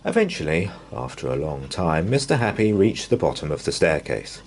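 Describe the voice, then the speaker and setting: A man reading a bedtime story aloud to young children as a storyteller, with measured, drawn-out, expressive phrasing. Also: a bass voice, a little hiss or hum.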